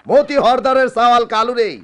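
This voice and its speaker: A man's voice: extremely high pitch, normal speed, a bit bright. An actor playing a scheming, menacing village headman in a radio drama, barking orders in a rural Bangladeshi dialect. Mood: bossy, irritated.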